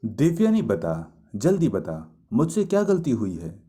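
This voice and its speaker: A man plays a grateful young male student of myth in a storybook telling. He declaims with reverence and devotion, his mellow voice rising and falling freely at a slow pace. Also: mid-range voice, huge pitch swings. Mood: earnest.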